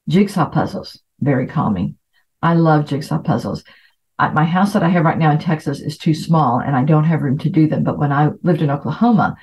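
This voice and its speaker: Chatty woman, dull lo-fi recording. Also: low-pitched. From Latin America. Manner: chatty, anecdotal. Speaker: podcast host. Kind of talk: informal self-help talk to listeners.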